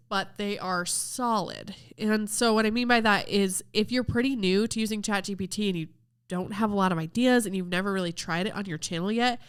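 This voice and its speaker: A woman's voice: mid-range voice, smooth. A woman hosting a podcast, chatting casually and upbeat about recommended videos while sounding stuffed up from a cold. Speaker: podcast host. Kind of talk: casual video review talk.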